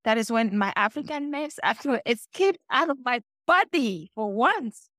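A middle-aged woman from Cameroon with a Cameroonian accent, talking as an interview guest and telling stories with animated acted-out dialogue. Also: a little high, moderate pace, no echo, studio quality. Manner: animated storytelling, acts out quoted dialogue. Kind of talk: conversational interview.

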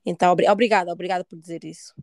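A woman's voice: fairly low pitch, steady tone, very fast, darkish tone, no room sound, studio quality. A woman host from Portugal with a European Portuguese accent, chatting informally and dropping in English words. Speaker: podcast host. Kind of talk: casual conversation.

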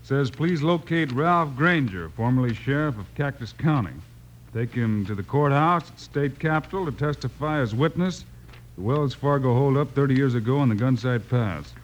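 Casting: actor as steady western lawman hero, mid-range male voice, patient, measured radio acting. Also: mid-range voice, a little hiss or hum, natural voice, rough recording. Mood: matter-of-fact. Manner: measured, reassuring.

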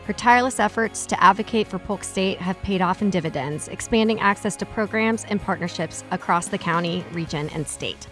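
A woman's voice: mid-range voice, a little fast, few pauses, a bit bright, faint music behind. A woman hosting a podcast interview in a chatty way, laughing easily. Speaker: podcast host.

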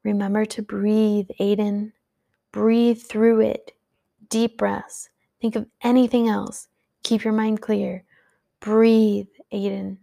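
A woman's voice: mid-range voice, flat delivery, slow pace, creaky, dark tone. A woman reading aloud who voices a protective young woman guardian, speaking urgently and then soothingly.

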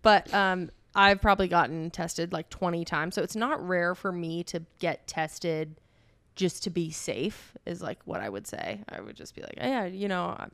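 A woman's voice: lowish voice, lively intonation, normal speed, a bit bright, dry recording. A woman co-hosting a podcast, telling a personal story in a playful, self-mocking way. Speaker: podcast co-host. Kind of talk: casual storytelling.

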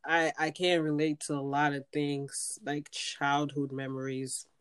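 A woman from Nigeria hosting a casual interview, chatty and quick to laugh, thinking aloud with restarts as she asks questions. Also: deep voice, flat delivery, clear, resonant tone. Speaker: podcast host.

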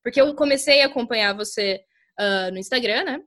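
High-voiced Brazilian woman hosting a podcast. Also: brisk, fairly bright tone. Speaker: podcast host. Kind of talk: casual chat interview.

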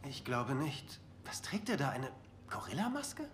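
A man's voice: medium pitch, very animated, measured pace, a bit bright, a little hiss or hum. An actor playing a nervous, evasive young man who is short of money, speaking hesitantly in a dubbed TV drama. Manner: hesitant, faltering.

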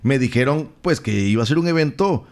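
A man with a Colombian Caribbean accent, a radio announcer co-hosting a podcast, telling stories in a playful, drawn-out way. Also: mid-range voice, lively intonation, a little mellow. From Colombia, Caribbean coast. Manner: playful storyteller, drawn-out phrasing. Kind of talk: casual podcast chat.

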